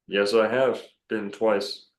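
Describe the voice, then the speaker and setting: Deep-voiced man in a roomy echo. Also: fairly even intonation, slow. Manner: rambling, self-assured, many fillers and restarts. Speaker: podcast guest, bookstore owner. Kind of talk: casual podcast conversation.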